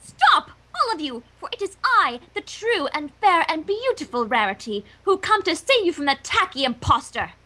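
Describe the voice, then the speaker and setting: Woman voicing a haughty, bright-voiced fashion pony. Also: very high-pitched, sing-song, moderate pace, hardly any pauses, heavily acted. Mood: haughty, indignant. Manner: grandiose, declamatory. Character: haughty theatrical female fashion pony, vain and scheming. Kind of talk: fan fiction character reading.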